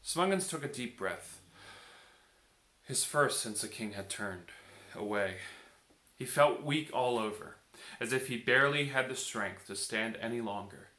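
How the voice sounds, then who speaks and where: A man reading a bedtime story aloud as an expressive storybook narrator. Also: medium pitch, unhurried. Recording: echoey room.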